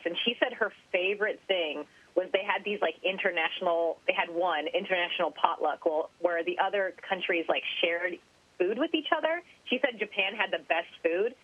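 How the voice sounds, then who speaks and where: A woman hosting a podcast asks an astronaut questions in an excited, chatty way, often saying "like". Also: fairly low pitch, no room sound, phone-quality sound. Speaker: podcast host and interviewer. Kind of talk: enthusiastic interview questions.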